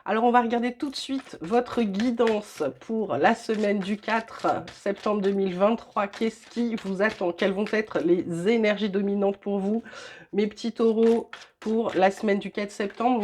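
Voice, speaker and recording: A woman's voice: medium pitch, unhurried, a little mellow, a little hiss or hum. An older woman with a cold, reading weekly tarot guidance from home in a chatty, reassuring way with frequent hesitations. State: has a cold. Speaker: tarot reader.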